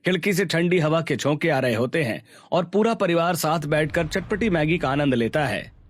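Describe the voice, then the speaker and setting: Higher-pitched male cartoon story narrator. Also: expressive, normal speed.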